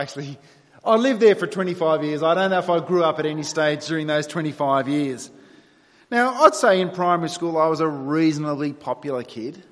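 Aussie guy giving a sermon, chatty, slightly high voice, big echoey hall. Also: fairly bright tone. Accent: Australian. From New South Wales, Australia. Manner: conversational, self-deprecating. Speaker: preacher. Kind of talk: sermon to a congregation. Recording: long reverberant hall sound.

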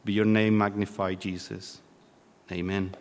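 A man in his thirties from Chile, a native Spanish speaker with a Chilean accent, preaching informally and haltingly with a self-deprecating manner. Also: on the low side, little pitch movement, a little hiss or hum. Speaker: guest preacher. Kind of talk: sermon. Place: church hall. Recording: long hall reverb.

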